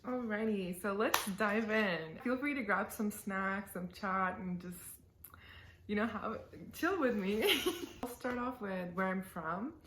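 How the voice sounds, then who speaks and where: A woman's voice: medium pitch, unhurried, fairly bright tone, a little hiss or hum. A woman in her twenties chatting casually to camera in a Q&A, giggly and full of fillers. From born in the UAE, raised in Canada. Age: 20s. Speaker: vlogger. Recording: roomy echo.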